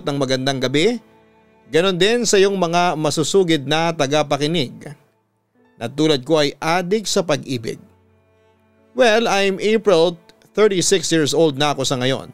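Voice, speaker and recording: A Filipino man, a radio announcer, reading a listener's letter dramatically with drawn-out emphasis on key phrases over background music. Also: a little high, clear, resonant tone, no room sound. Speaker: radio announcer and story reader. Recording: music bed behind the voice.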